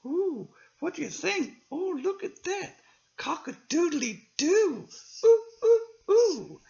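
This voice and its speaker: A man putting on a high, squealing voice for an excitable little toy friend during a storybook reading. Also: slow, creaky, roomy sound, heavily acted. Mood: excited. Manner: squealing, gleeful, wide-eyed. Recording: dull lo-fi home recording.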